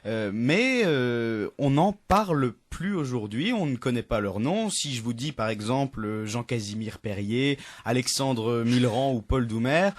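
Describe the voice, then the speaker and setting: Young man, student radio presenter, mid-pitched voice, chatty storytelling, many fillers and restarts, radio studio. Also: lively intonation, measured pace, hardly any pauses. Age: young adult. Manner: chatty, many hesitations and restarts, laughs at own jokes. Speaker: student radio presenter telling the anecdote of the week.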